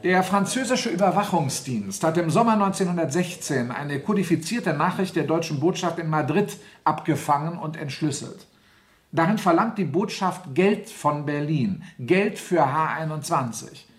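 An actor playing a stern, sardonic general who presides as military judge in a German radio play, commanding and formal. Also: male voice, fairly high pitch.

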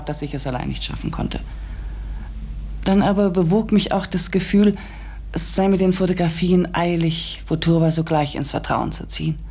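A woman's voice: low voice, mellow. An actress playing an earnest, inquisitive and easily bewildered woman in a radio play, speaking tentatively. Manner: tentative, questioning. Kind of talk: German radio play acting.

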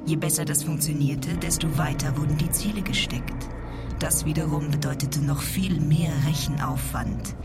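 A woman playing a computer's younger lecture voice, giving measured, explanatory narration in a radio play. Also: deep voice, steady tone, close to an everyday voice. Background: loud music.

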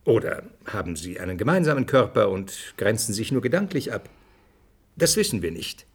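An actor playing a thoughtful scientist who explains things deliberately in a radio drama. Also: male voice, fairly low pitch, sing-song, husky, full character voice. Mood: pondering, explanatory. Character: thoughtful male scientist musing on alien minds. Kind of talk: German radio drama acting.

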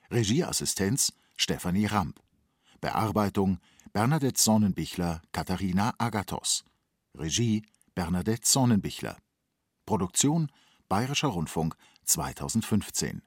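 Low male announcer, dry sound. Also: lively intonation, measured pace, husky, no echo. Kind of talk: scripted radio credits reading.

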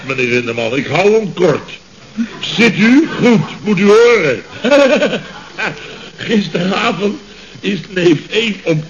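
Blustering high-voiced Dutch boss, old radio. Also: male voice. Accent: Netherlandic Dutch. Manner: exasperated, sarcastic, repeats himself, laughs bitterly. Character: blustering, sarcastic male boss of a construction firm. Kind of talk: radio comedy serial acting. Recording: dull, noisy old radio recording.

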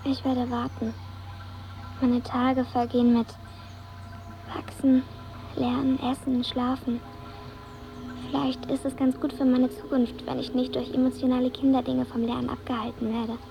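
A woman's voice: sits high, little pitch movement, a little slow, vocal fry, long pauses, warm tone, slight background noise, low-quality recording. An actress playing a solemn, precocious little girl in a radio drama, speaking matter-of-factly in oddly grown-up, articulate sentences. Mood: sober, earnest.

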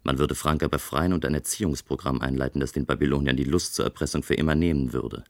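A man playing a composed, self-assured inventor from a future society in a scripted German science fiction radio play, speaking in a measured, articulate way. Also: rumbling low, fairly even intonation. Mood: reflective, weary. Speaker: narrator and lead character in a radio play. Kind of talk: scripted German radio drama, first-person narration.

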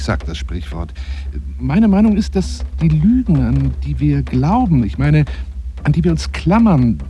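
A man's voice: mid-range voice, dark tone, slight background noise. An actor playing a lonely, self-important bookish man in a radio drama monologue, theatrical and rambling, often dropping to a near-whisper. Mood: fervent, insistent.

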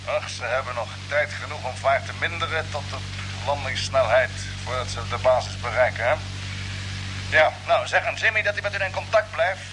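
A man playing a brisk, decisive space expedition commander in businesslike old radio drama style. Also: mid-range voice, lightly acted. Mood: calm, businesslike. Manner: businesslike, instructing. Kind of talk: 1950s Dutch radio drama acting. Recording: old, faintly noisy radio recording.

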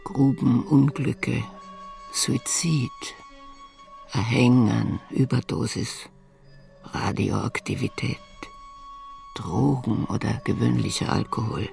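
An actor playing a cynical man who recites harsh rules of city life in a measured, list-like radio drama delivery. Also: mid-range voice, fairly even intonation, gravelly, a little mellow, faint music behind. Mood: cynical, flat.

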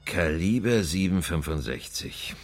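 Grudging gruff cop, super low voice, big reverb, music under it, radio crime play. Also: male voice, lively intonation, measured pace, full character voice. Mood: grudging, businesslike. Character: gruff, grudging male police detective. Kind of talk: German radio crime drama acting.

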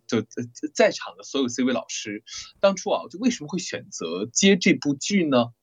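Lively, husky host with music behind. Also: mid-range voice. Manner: chatty, often repeats phrases. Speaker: host and voice actor. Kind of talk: casual live event hosting.

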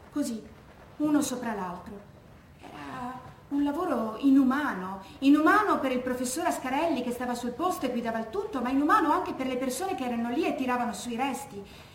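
Actress as high-voiced grieving daughter, echoey room. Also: female voice, lightly acted. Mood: solemn, pained. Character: educated daughter recalling her father's wartime death, composed, grieving. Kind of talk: theatrical testimony monologue. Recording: echoey room with background noise.

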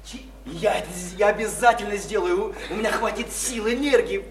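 An actor playing an impassioned idealist in a radio play, preaching reform in halting, repetitive, grandiose speeches. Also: male voice, sits high. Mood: preachy, exalted. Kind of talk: theatrical radio play acting. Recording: noisy, echoey stage-like radio play recording.

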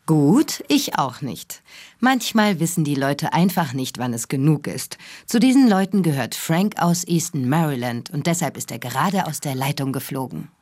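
An actress playing an assertive female talk-radio host in a German radio drama, dry and no-nonsense, with a husky voice. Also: sits low, animated, moderate pace, a little mellow. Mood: curt, dry.

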